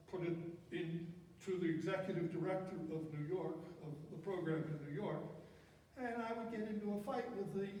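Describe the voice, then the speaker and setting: A man's voice: high voice, mellow. An older man telling personal career stories to an audience in an emphatic way, often repeating words for effect. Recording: very echoey hall, distant mic, faint noise.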